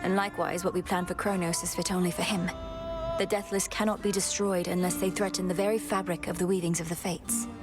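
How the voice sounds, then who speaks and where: A woman playing a resolute young goddess princess in a video game, speaking in a formal, measured, solemn way. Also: on the low side, little pitch movement, over music, natural voice. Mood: solemn, assured. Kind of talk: dramatic video game voice acting.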